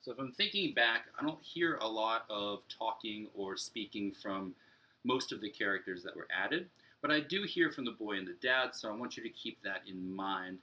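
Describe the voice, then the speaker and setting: A man's voice: lowish voice, lively intonation, normal speed, sharp tone, muffled recording. A young adult man speaking as a teacher, explaining a lesson directly to young pupils. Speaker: elementary teacher. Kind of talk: read-aloud and story structure lesson for children. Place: room at home, indoors.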